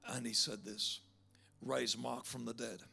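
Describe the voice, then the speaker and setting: A man's voice: mid-range voice, unhurried, creaky, slightly rough, faint music behind. A man preaching a sermon, telling a story and leading a call to prayer in a big voice. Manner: animated storyteller, building to loud fervent altar call.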